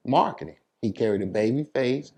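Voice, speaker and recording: A man's voice: mid-range voice, animated, brisk, vocal fry, warm tone, breathy edge. A man from New York City speaking as a DJ in a casual interview, giving emphatic advice in informal, slangy talk. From New York City, United States. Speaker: DJ being interviewed.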